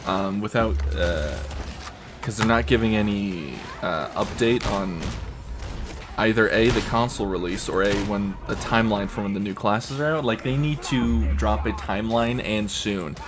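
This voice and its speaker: A young man with a scratchy throat rambling casually while he plays a video game. He talks with lots of fillers and casual swearing, in a deep, fairly monotone voice. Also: normal speed. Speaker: gamer commenting while playing. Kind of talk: casual gameplay commentary.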